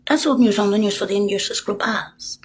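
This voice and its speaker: An older woman in a rambling monologue, lurching between hushed whispers and loud, emphatic bursts as she accuses her listeners directly. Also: medium pitch, brisk, slight background noise.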